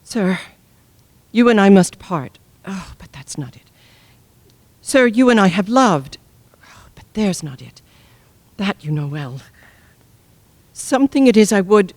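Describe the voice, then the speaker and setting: A woman's voice: medium pitch, sing-song, a little mellow, dry recording. Volatile queen, sweeping dramatic delivery. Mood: halting, sorrowful. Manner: declamatory, mercurial, deliberate dramatic delivery. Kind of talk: classical Shakespearean stage acting.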